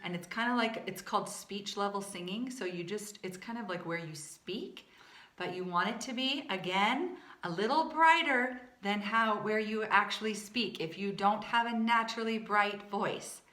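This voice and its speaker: A woman teaching a vocal warm-up lesson for choir singers, chatty and casual, with a naturally low speaking voice. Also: moderate pace, reverberant, faint music behind. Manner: chatty, demonstrates belting and singing.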